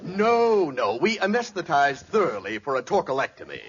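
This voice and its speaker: Casting: hammy family doctor, solemn delivery, highish swooping pitch, noisy vintage radio comedy recording. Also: male voice, big pitch swings, lo-fi sound. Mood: mock grave. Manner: grave, deliberate bedside manner. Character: mock-grave family doctor hamming up a fake diagnosis. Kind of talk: 1940s radio comedy acting.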